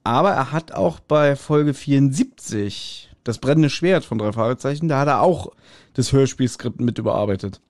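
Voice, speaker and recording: A man's voice: medium pitch, lively intonation, normal speed, darkish tone, dry recording, clean studio sound. A middle-aged man co-hosting a podcast, reviewing audio drama in a casual, colloquial and jokey way. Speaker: podcast host.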